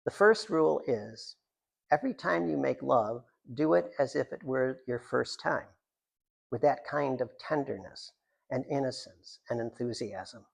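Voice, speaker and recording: A man with a noticeably gravelly, creaky voice at an ordinary middle pitch gives a live literary reading of his own writing. He slips into rambling, self-deprecating asides with a joking manner. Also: measured pace. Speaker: writer reading from own work.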